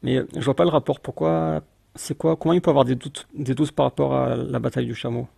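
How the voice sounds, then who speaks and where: A man's voice: medium pitch, fairly even intonation, fast, vocal fry, warm tone, slightly rough, no echo. A man commenting on a video he plays, dismissive and mocking, often cutting in over the clip. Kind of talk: casual reaction commentary.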